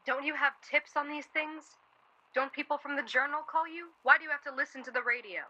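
Woman acting a bright, snippy young wife. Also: high-pitched, a little fast, dull audio. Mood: weary, irritated. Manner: snippy, sardonic. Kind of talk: radio play dialogue.

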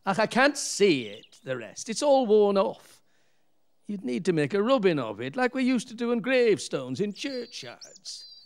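A man's voice: high voice, exaggerated voice. A man playing a jovial, curious older husband in a radio play, chuckling and colloquial. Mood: curious, puzzled.

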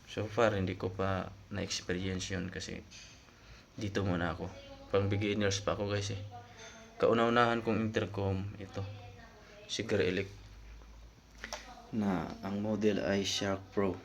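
A man with a fairly low voice talks in a casual, explanatory vlog style. He speaks informally and directly to his viewers, as if walking friends through a topic. There is faint ambient noise behind him. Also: level tone, a little slow, gravelly, long pauses. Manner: informal, explanatory, often addressing viewers as guys. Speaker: vlogger.

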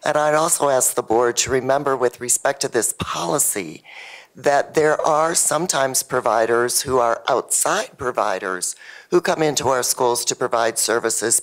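Hoarse woman giving cautious legal advice. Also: rumbling low, rough recording. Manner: cautious, formal. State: losing her voice. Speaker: legal counsel. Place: school board meeting room, seated at the dais. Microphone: handheld microphone.

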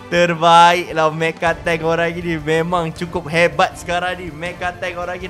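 A man with a Malaysian accent giving excited, animated gameplay commentary with roleplay, full of exclamations and drawn-out words. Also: high voice. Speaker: gaming YouTuber.

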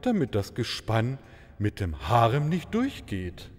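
A male actor plays an effusive oriental prince in an audio play. He speaks slowly and pompously, with florid flattery and sweeping melody, in a long hall-like reverb. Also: mid-range voice. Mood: plaintive.

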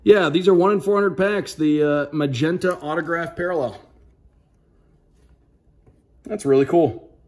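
A man's voice: on the high side, unhurried, long pauses, darkish tone. A man giving casual, upbeat commentary on an unboxing, reading out names to viewers like a broadcaster. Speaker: radio sports play-by-play broadcaster.

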